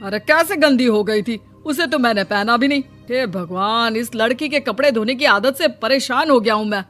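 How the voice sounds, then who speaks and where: An exasperated middle-aged father, voiced by a woman who grumbles about his daughter. His voice runs a bit high for a man. Soft music plays behind. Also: moderate pace, natural voice.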